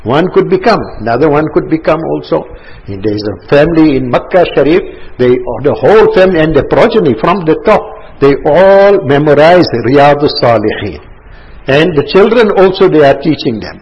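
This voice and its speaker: A man's voice: a little high, animated, moderate pace. A man in his sixties giving a religious talk to a seated gathering indoors, rambling and repetitive, full of anecdotes. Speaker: religious teacher. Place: indoor gathering room with carpet. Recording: dull lo-fi recording.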